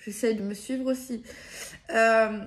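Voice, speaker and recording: A woman's voice: a little high, fairly even intonation, unhurried. A woman giving improvised spiritual guidance in a casual, hesitant way, often circling back and rephrasing herself. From France. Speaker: card reader giving guidance to viewers.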